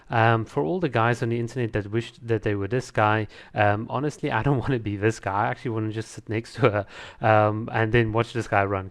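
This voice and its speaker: A man reviewing a video in casual commentary, repeating words for emphasis. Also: fairly low pitch.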